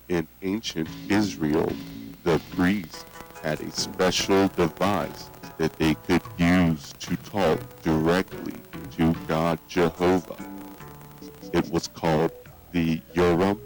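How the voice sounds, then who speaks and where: Man playing a very deep, solemn old grandfather. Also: level tone, soft background music, low-quality recording. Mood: solemn, explanatory. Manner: solemn, deliberate, sermonizing. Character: solemn old grandfather, cult patriarch preaching child sacrifice. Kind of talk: slow scripture storytelling in a children's horror tape.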